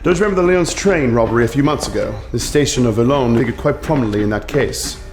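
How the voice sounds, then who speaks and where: An actor playing a calm, clever detective with a British accent, brisk, dry and assured. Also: male voice, mid-range voice, background noise, lightly acted.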